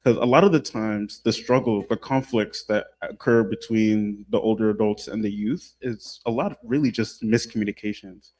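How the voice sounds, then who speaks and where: A young man who teaches youth, speaking in a measured and reflective way on a panel. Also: on the low side, a little mellow. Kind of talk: panel discussion.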